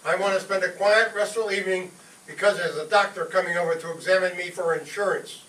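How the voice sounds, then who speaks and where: A man's voice: sits high, steady tone, a little fast, a bit bright. A man playing a weary, exasperated father in a 1940s radio comedy. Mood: weary, firm. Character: weary, exasperated father wanting some peace. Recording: echoey hall, distant, noisy.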